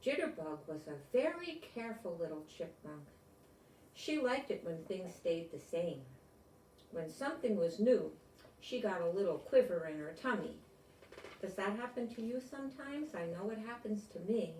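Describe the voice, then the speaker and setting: A woman's voice: mid-range voice, animated, moderate pace, a little mellow, a little hiss or hum. An older woman reading a picture book aloud to children, expressively, with little asides and questions to the listeners. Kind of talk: animated read-aloud to children. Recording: echoey room, distant mic.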